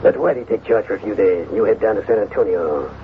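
A domineering rich cattle baron talks briskly, smooth and calculating. It is old-time radio villainy on a thin, band-limited recording with faint noise. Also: male voice, on the low side, expressive, narrow, tinny audio. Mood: scheming.

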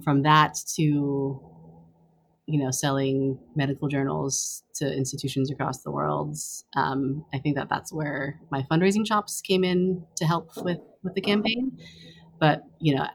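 Low-voiced woman answering thoughtfully. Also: creaky, slightly rough. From Taiwan, raised on US East Coast. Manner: thoughtful, halting, with many restarts and fillers. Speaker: campaign treasurer and fundraising director. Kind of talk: casual interview answers.

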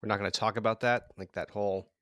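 A woman co-hosting a podcast in casual banter, wry and quick to laugh. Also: a bass voice, fairly even intonation, a bit bright, no room sound, studio quality. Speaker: podcast co-host.

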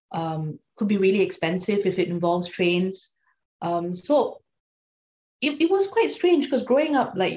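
A woman's voice: on the low side, a little mellow. A woman, a law lecturer answering interview questions thoughtfully, with frequent fillers and restarts. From Singapore. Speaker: law lecturer, podcast guest. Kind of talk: conversational interview. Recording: dull lo-fi sound.

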